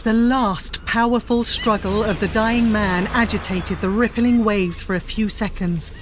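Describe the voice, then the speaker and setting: A woman's voice: medium pitch, steady tone, a little slow, background noise. A woman reading scripted narration for a dramatic audio story.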